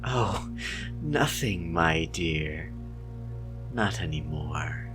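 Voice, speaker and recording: A man voicing an ancient female cosmic deity in a horror story, bored and sweetly menacing, with drawn-out, condescendingly tender delivery. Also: sits low, animated, over music, full character voice, poor audio. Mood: coolly condescending. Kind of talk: dramatic horror story reading.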